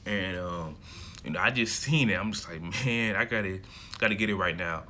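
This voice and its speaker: A man's voice: sits low, moderate pace, bright, forceful tone, slight background noise. A young man from America in his early twenties, talking to camera in a loose, chatty monologue full of fillers and restarts. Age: young adult. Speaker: self-development vlogger. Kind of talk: casual rambling monologue to camera.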